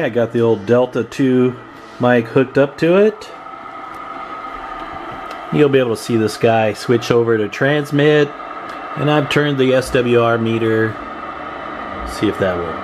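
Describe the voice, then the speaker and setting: A man giving a casual, informal hands-on demonstration as a hobbyist, unhurried, recorded on a handheld camera in a room at home. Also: medium pitch, noisy background. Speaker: CB radio hobbyist showing his equipment. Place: indoor room at home with radio gear. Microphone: handheld camera microphone, speaker off screen.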